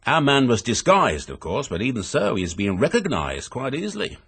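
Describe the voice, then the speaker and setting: An actor playing an unflappable police inspector in a British radio drama, with an English accent and a measured, authoritative manner. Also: male voice, medium pitch, huge pitch swings, fairly bright tone, husky, exaggerated voice. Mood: explanatory. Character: methodical, unflappable male police inspector, quietly relentless.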